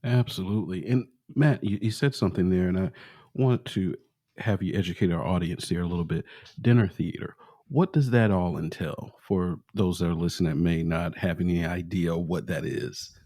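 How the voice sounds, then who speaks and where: A man hosting a podcast interview, asking questions in a measured, relaxed way. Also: deep voice, no echo, clean studio sound. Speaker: podcast host.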